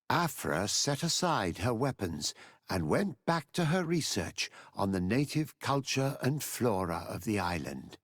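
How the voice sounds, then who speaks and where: Older mentor narrator for game ending, lowish voice, very expressive storytelling, dry close sound. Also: male voice, dry recording, exaggerated voice.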